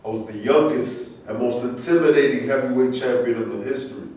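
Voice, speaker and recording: A man's voice: mid-range voice, brisk, darkish tone, close to an everyday voice. A man playing a tough, street-smart former boxing champion in a staged reading. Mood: tough, aggrieved. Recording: echoey room, far mic, faint noise.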